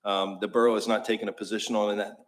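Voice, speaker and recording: A man with a low voice gives a staff report to a public meeting. He is measured and procedural, with occasional fillers. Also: level tone. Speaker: borough staff official.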